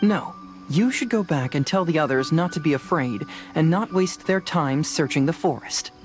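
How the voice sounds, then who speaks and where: An actor playing a composed young detective in a video game, explaining things in a measured, articulate, confident way. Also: male voice, on the high side, expressive, soft background music, natural voice. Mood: thoughtful, decisive.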